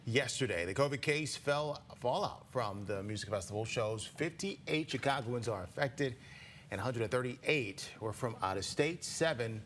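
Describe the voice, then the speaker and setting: A man's voice: medium pitch, expressive, normal speed, a bit bright, a little hiss or hum. A man with an American accent anchoring a morning newscast, moving between scripted reading and brief banter. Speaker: TV news anchor.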